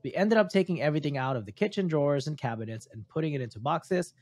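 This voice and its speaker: A man's voice: fairly high pitch, expressive, fast talker, a little mellow, no room sound. A man who is not a native English speaker reads a story aloud for a podcast, stumbling now and then on his words. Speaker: podcast host reading stories.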